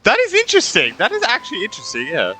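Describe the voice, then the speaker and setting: A man's voice: high-pitched, wildly swooping pitch, very fast, pushed and bright. A man giving casual live commentary as he plays a game, in a hesitant, trailing way with repeated phrases. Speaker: gaming streamer. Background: game music.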